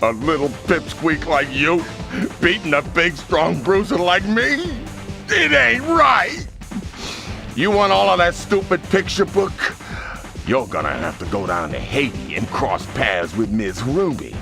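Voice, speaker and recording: An actor playing a big brutish male bulldog gangster boss in a video game, with a gravelly, blustering tough-guy delivery. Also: on the high side, animated, music in the background. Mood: sore. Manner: swaggering, blustering, slow. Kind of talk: video game cutscene acting.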